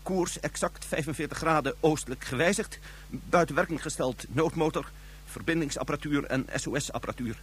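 A man's voice: on the high side, a little fast, slight background noise, lightly acted. A man acting a nervous, panicky secret agent in a radio drama, stammering and flustered. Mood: flustered, dutiful. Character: nervous, panicky male secret agent cracking under pressure.

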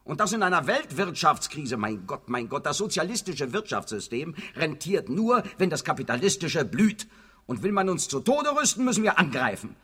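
A man's voice: fairly high pitch, sing-song, normal speed, sharp tone, slightly rough, exaggerated voice. An actor playing a brash, cynical communist party boss in a radio play, blunt and sardonic as he speechifies. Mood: exasperated. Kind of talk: theatrical German radio play acting.

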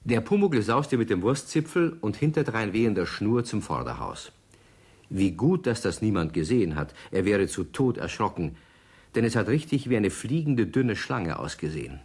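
A man's voice: fairly low pitch, sing-song, normal speed, a little mellow, slightly rough, heavily acted. A man narrating a children's radio play as a storyteller, reading from a script. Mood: vivid storytelling. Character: male storyteller of a children's tale.

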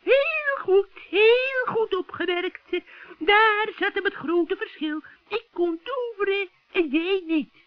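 A man voicing a scheming old witch in a children's radio play, shrill and cackling, with sugary, wheedling friendliness. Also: animated, no echo, full character voice. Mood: sly, coaxing. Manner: wheedling, drawn-out coaxing. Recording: dull, dry vintage radio recording.

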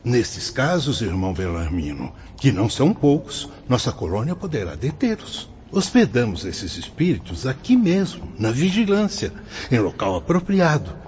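A man's voice: mid-range voice, big pitch swings, normal speed, darkish tone, lo-fi sound, a little hiss or hum, close to an everyday voice. A man acting a wise, fatherly spirit mentor in a radio soap opera, speaking in a solemn, didactic, formal manner. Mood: solemn, instructive. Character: wise, fatherly male spirit mentor explaining afterlife rules.

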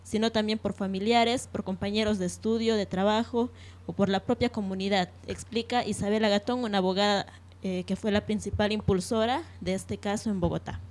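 A woman hosts a radio programme in a middle-pitched voice. She moves between reading out news and explaining it in her own words. Her phrasing is hesitant and a little halting, as if shaping sentences as she goes. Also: steady tone, a little mellow. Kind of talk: semi-scripted radio presenting with news reading.

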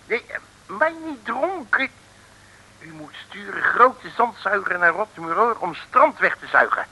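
High, creaky bumbling fake mandarin. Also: male voice, sing-song, unhurried, bright, forceful tone, heavily acted, rough recording. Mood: pompous, insistent. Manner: mock-pompous broken pidgin speech, muttering asides. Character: bumbling male detective posing as a grand Eastern mandarin. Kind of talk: 1960s radio comedy serial acting.

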